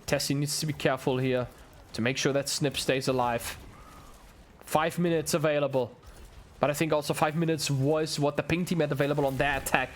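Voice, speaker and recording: Excitable German esports caster. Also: male voice, on the high side, a little fast, slight background noise. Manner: excitable, ramps up with the action, chats with viewers. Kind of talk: solo play-by-play commentary.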